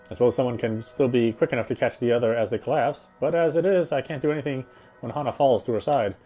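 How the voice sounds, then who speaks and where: Mellow man narrating a visual novel. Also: medium pitch, fast talker, faint music behind. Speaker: game streamer reading aloud.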